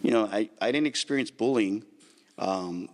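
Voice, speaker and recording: Low-voiced evangelist man, reverberant room. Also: moderate pace. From Santa Maria, California. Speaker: evangelist guest. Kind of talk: testimony in an interview. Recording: long hall reverb.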